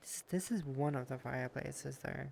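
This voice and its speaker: A man's voice: mid-range voice, moderate pace, creaky, a little mellow, a little hiss or hum. A man streaming a casual game session, rambling and thanking viewers, sounding stuffy from a cold. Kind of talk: casual streaming chat while exploring a game world.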